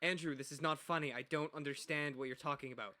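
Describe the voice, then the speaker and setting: An actor playing an earnest late-night radio host and protective older brother in a radio play. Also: male voice, on the high side, steady tone, fast, pushed and bright. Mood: shaken, alarmed.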